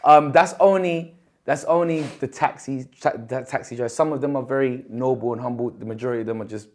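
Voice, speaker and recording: A man's voice: mid-range voice, steady tone, a little fast, darkish tone. A young man from Colombia, a podcast guest telling stories in casual chat with many fillers and restarts.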